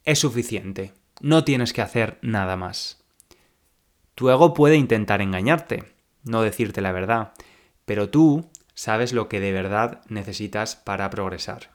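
A young man from Spain with a Spanish accent, hosting a podcast lesson for learners and speaking slowly and clearly like a teacher. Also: on the low side. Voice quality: slow, clear teacher speech.

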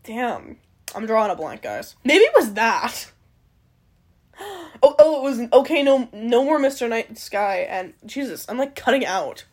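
A woman's voice: on the high side, animated, a little slow. A young woman from Canada hosting a podcast alone, rambling casually with many fillers and some swearing. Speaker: podcast host. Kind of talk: casual solo chat.

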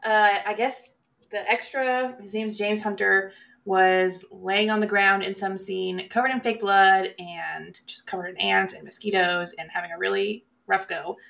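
A woman speaks at a middling pitch with plenty of vocal fry. She co-hosts a podcast in a casual, conversational way. Her humour is dry and offhand. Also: steady tone, measured pace, a bit bright. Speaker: co-host of a horror movie podcast. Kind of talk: casual chat.

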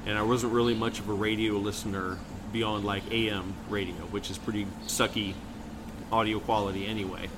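A man's voice: flat delivery, a little fast. A middle-aged American man chatting casually and reminiscing as a podcast co-host. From Kansas City, United States. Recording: clearly noisy, rumbling background.

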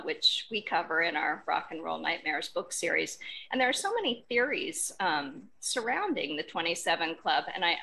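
A woman's voice: fairly low pitch, gravelly, bright, forceful tone, a little hiss or hum, rough recording. A woman hosting a podcast interview in a conversational style. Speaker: podcast host.

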